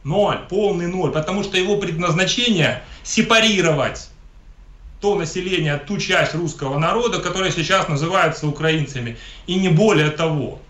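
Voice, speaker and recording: A man's voice: high-pitched, normal speed, fairly bright tone. A man from Ukraine, an interview guest giving emphatic, polemical political commentary and often repeating himself. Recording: echoey room.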